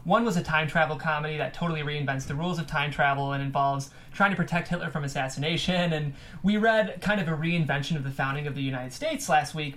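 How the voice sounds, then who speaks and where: A woman who hosts a script table read, narrating stage directions and interviewing the writer. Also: low-pitched.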